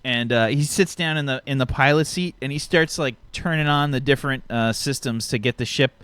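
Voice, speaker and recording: A man casually narrating a tabletop game as its game master, animated and sweary. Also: medium pitch, fairly quick. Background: background music.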